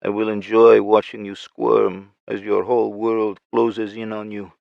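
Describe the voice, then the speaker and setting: A man voicing a gloating male villain with a Russian accent, taunting and mocking in a slow, drawn-out way. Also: lowish voice, level tone, vocal fry, no room sound. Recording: muffled lo-fi recording.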